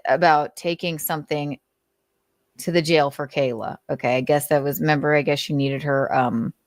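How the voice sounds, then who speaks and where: Low-pitched woman, dry close sound. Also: dry recording. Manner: informal, sweary, sardonic asides. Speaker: commentator and show host. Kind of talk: casual read-aloud of notes with commentary.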